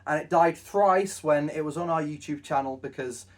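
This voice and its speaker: A man's voice: fairly high pitch, normal speed, far from the mic. A man co-hosting a casual chat, rambling and self-deprecating, with a lot of stumbling restarts. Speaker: co-host.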